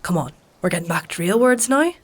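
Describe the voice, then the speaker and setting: Fantasy healer: weary woman, lower voice, dry wit, matter-of-fact delivery. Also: expressive, fairly quick, slightly rough. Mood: coaxing, wry. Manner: wry, coaxing, matter-of-fact. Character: weary, dry-witted woman healer tending a dying stranger. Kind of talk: dark fantasy audio drama, narration and dialogue.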